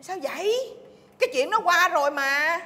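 A woman's voice: extremely high pitch, lively intonation, normal speed, bright, forceful tone, long reverb tail, exaggerated voice. A woman playing a coaxing, soothing friend.

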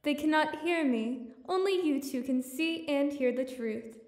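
A woman playing a haughty, composed genie in an audio drama, speaking in a formal, measured way. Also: sits high, a little mellow. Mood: stately, calm. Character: haughty, composed female genie, easily offended. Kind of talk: audio theater acting. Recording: echoey reverberant sound, music behind.

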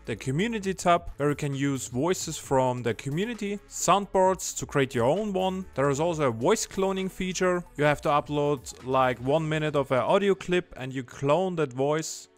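A young man narrating a software tutorial as its presenter. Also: on the high side, moderate pace, hardly any pauses. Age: young adult. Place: indoor home room. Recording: background music under voice.

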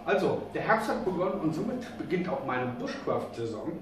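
A man's voice: a little high, big pitch swings, brisk. A middle-aged man from Germany giving a casual, rambling gear review in a joking, colloquial manner. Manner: informal, joking, colloquial with mild swearing. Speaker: vlogger reviewing outdoor gear. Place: concrete basement laundry room, indoors. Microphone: camera mic a few steps away. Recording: echoey room, distant camera, background noise.

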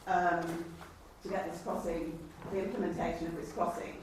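A woman's voice: lowish voice, little pitch movement, moderate pace, a little mellow. A woman councillor putting formal questions at a meeting. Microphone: distant camera microphone. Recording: echoey room, far off, noisy.

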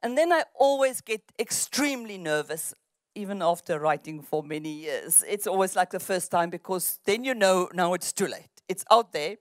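Woman in her 60s, native Afrikaans speaker, lowish pitch, dry close handheld mic, reflective author on an outdoor stage. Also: lively intonation, moderate pace, dry recording. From South Africa. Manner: thoughtful, with frequent restarts. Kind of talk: festival panel conversation. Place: outdoor festival stage among trees. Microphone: handheld microphone.

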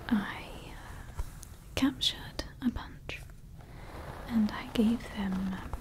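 A woman's voice: on the high side, low-quality recording. A woman voicing a scheming evil female scientist in an ASMR roleplay, whispering throughout and rambling with fillers. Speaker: ASMR creator.

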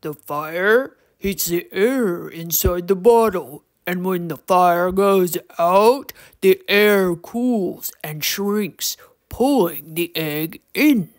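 Woman acting out a gruff storybook troll, male, deep and gravelly, slow and emphatic, mischievous, theatrical. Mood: showy, instructive.

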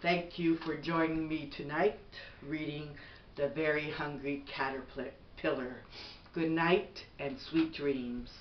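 A middle-aged woman reads a bedtime storybook at an unhurried pace. She is some distance from the camera mic in an echoey room. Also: deep voice, fairly even intonation, dull audio, a little hiss or hum. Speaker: mother reading a bedtime story. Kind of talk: slow storybook read-aloud. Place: small bedroom at home, indoors. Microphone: camera a few feet away.

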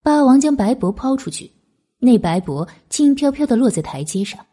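Soft-toned, husky woman narrating a novel. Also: high-pitched, very animated, moderate pace. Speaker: audiobook narrator. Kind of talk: scripted dramatic novel narration.

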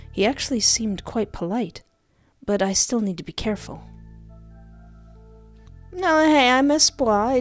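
A young woman hosting a game playthrough on a close microphone, reading text aloud and adding animated, jokey asides. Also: mid-range voice. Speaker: gaming video host. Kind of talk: playful let's play commentary mixed with reading game text aloud. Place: indoor home recording setup. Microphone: close desktop microphone with headset. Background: game music.

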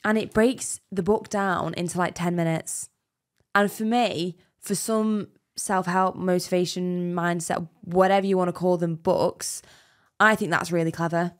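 A woman's voice: lowish voice, fairly even intonation, measured pace. A woman in her thirties co-hosting a podcast in a small studio, chatting loosely and trailing off mid-thought. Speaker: podcast co-host. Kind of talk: casual chat. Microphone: studio mic on arm, headphones.

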